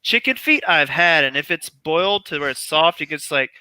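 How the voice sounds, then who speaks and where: A man from Tennessee, chatting on a video call in an animated, complaining way. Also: on the high side, smooth, dry recording. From Tennessee, US South. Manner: animated, complaining, quick with laughs. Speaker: guest on a live chat. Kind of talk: casual group chat.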